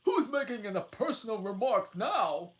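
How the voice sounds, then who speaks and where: A woman's voice: a little high, very animated, moderate pace, pitched above the performer's own voice. A woman voicing a rude, smug man who makes hats, in a storybook reading.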